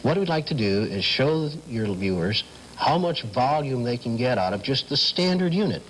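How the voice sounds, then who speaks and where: A man's voice: medium pitch, very animated, normal speed. A middle-aged American man explaining as an interview guest in a television studio, on slightly noisy vintage TV sound. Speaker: telephone company specialist, guest. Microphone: lapel mic.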